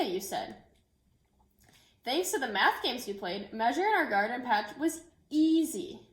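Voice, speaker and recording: A woman teacher reading a picture book aloud and chatting informally and directly to young children. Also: high-pitched. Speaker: elementary school teacher. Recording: echoey room.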